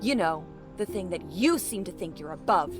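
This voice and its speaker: A woman's voice: on the low side, lively intonation, normal speed, lightly acted. A woman playing a tough, no-nonsense police captain in an audio drama, brusque, sardonic and commanding. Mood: defiant, menacing. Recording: dramatic music underneath. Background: music.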